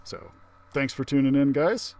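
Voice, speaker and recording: A man reading aloud to children as a library story-time host, expressive, with casual asides. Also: medium pitch, unhurried.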